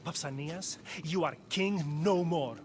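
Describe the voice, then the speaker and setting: An actor playing a stern, formal Spartan magistrate in a video game, solemn and declamatory. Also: male voice, on the high side, unhurried, a little mellow, a little hiss or hum, close to an everyday voice. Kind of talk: dramatic video game acting.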